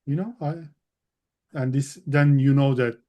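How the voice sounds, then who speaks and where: A man hosts a relaxed interview, coaxing his guest along with encouraging advice. English is not his first language, so his phrases come out choppy and full of "you know," at a middle pitch. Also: unhurried. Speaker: podcast host. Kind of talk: casual interview chat.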